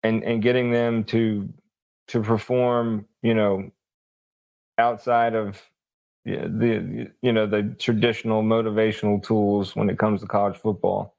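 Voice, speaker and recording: A man, a sports journalist guest, giving casual unscripted commentary in a halting, think-aloud manner. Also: lowish voice, flat delivery, vocal fry, a little mellow, rough recording.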